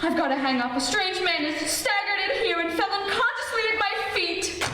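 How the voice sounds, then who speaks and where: Distant and echoing, a fretful housewife from a golden-age radio comedy, acted by a woman. She fusses theatrically in a very high voice, full of sympathy. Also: moderate pace, sharp tone. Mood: shocked concern. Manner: theatrical, fussing. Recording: distant echoey hall.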